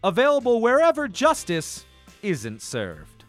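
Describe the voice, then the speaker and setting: Man playing a wildly swooping, cheery pitchman. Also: wildly swooping pitch, a little slow, soft background music, exaggerated voice. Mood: salesy. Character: cheery over-keen pitchman at breakfast. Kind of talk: spoof commercial announcing.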